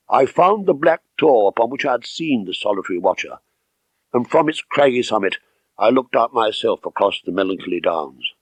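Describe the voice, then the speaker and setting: A man reading first-person literary narration as an audiobook narrator, measured, expressive and dramatic. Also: fairly low pitch, dry recording, low-quality recording.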